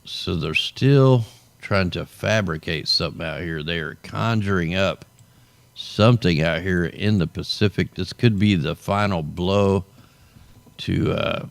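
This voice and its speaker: Tired low-voiced man, gravelly and hoarse. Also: measured pace, no echo. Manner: loose, conversational, drawls "man" at line ends. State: tired and losing his voice. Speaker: show host. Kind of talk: casual weather commentary over satellite maps.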